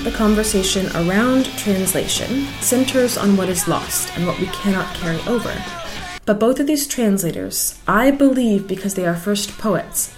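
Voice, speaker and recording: A woman host reading a scripted introduction in a measured way. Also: mid-range voice, low-quality recording. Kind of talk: scripted narration. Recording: clear music bed underneath.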